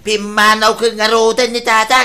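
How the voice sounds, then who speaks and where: A woman's voice: fairly high pitch, little pitch movement, moderate pace, over music, natural voice. A woman playing a plain-spoken younger member of a household, chiding and reasoning in a comedy radio drama. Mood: reproachful.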